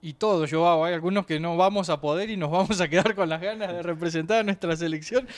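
Male interviewer, South American accent, notably high pitch, conversational sports-chat delivery. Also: quick pace. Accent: South American Spanish. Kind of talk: casual interview questions.

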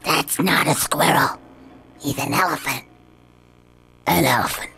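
An actor voicing a slow-witted, gruff guard creature in a cartoon video game, plodding and easily confused. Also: mid-range voice, sharp tone, heavily acted. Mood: flustered.

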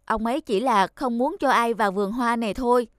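An actor voicing a bossy, wary, teasing young photographer in a Vietnamese cartoon, chattering away. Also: male voice, very high voice, brisk, a bit bright, no echo, clean studio sound. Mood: explaining. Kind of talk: cartoon voice acting. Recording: music under the voice.

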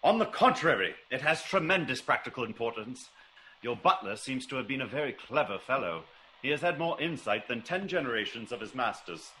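An actor playing a keen, commanding detective, probing and theatrical, in a classic radio drama. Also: male voice, medium pitch, big pitch swings, brisk, pushed and bright. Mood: keen, assured. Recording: noisy old radio recording.

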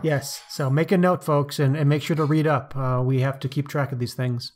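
A man's voice: medium pitch, fairly even intonation, normal speed, darkish tone. A man hosting a podcast, discussing books in an informal, opinionated way with slangy asides. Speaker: podcast host. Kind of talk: casual book discussion.

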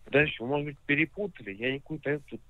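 Guy on a tinny phone call, talks quick, keeps asking questions, car dealer type. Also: on the high side, dry recording. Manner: questioning, insistent. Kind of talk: phone conversation. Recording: tinny narrowband phone line.

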